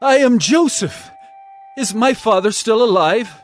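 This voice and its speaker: A man's voice: very high voice, animated, unhurried, soft background music. An actor playing Joseph, an emotional young ruler revealing himself to his brothers, speaking earnestly in a dramatized scripture reading. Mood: urgent. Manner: earnest, pleading.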